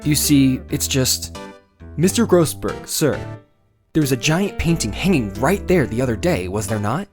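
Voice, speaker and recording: An actor playing an earnest, dogged and excitable young defense lawyer in a video game, with a probing way of talking. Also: male voice, mid-range voice, unhurried, faint music behind, natural voice. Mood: pressing, earnest. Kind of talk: dramatic video game character acting with inner monologue.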